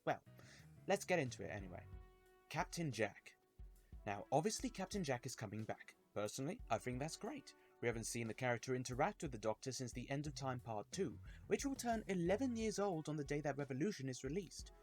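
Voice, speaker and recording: A man hosts a scripted commentary video. His mid-pitched voice moves through lively, wide swings, with dramatic stress on key words. Soft music plays behind him. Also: a little fast. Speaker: YouTube reviewer and host.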